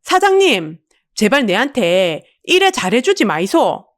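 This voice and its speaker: A woman's voice: sing-song, measured pace. Woman playing anguished Busan street vendor woman. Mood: fearful, desperate. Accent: Busan Gyeongsang dialect. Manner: anguished, pleading, high-strung. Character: wounded, distrustful, hard-working betrayed woman street vendor.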